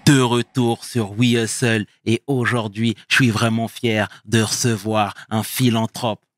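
A man hosting a casual interview show, speaking enthusiastically with slang and warm compliments. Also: lowish voice, level tone, measured pace, no echo, clean studio sound. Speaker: talk show host.

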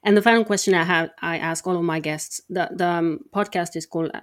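A woman's voice: lowish voice, steady tone, brisk, dry recording, clean studio sound. A woman podcast host who asks brief, friendly questions and then reads a closing sign-off. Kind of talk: interview and scripted outro.

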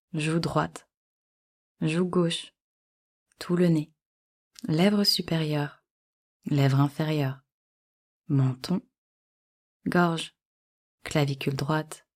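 A woman guiding a yoga nidra relaxation in calm, measured short instructions. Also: low voice. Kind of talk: guided relaxation meditation.

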